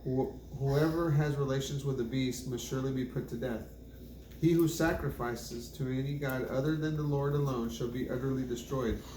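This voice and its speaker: A man's voice: medium pitch, fairly even intonation, normal speed, mellow. Man reading scripture aloud, echoey room. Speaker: scripture reader. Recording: roomy echo, faint background noise.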